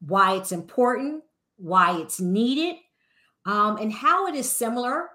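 A woman hosts a podcast, speaking slowly and deliberately at a mid pitch. She introduces and questions her guest with careful phrasing. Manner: deliberate, warm introductions with light laughs. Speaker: podcast host. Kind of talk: podcast interview.

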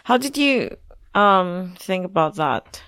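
Podcast co-host, female, low croaky voice, relaxed chat, dry close mic. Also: slow, no echo. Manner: easy-going, laughs often. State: croaky, groggy voice. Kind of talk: casual chat.

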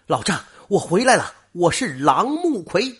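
A man voicing an earnest young male scholar-official who speaks raised and fervent, with a husky voice. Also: sits high, wildly swooping pitch, measured pace, voice pushed up, full character voice. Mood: eager.

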